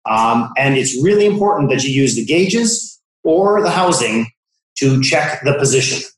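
A man's voice: medium pitch, expressive, measured pace. Man explaining equipment in a very echoey room. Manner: explanatory, step by step. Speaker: product expert from an encoder manufacturer. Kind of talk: technical demonstration commentary.

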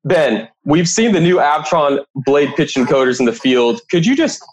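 A man's voice: on the high side, animated, moderate pace, rough recording. Male podcast host, conversational interviewer. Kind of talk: interview, prepared introductions.

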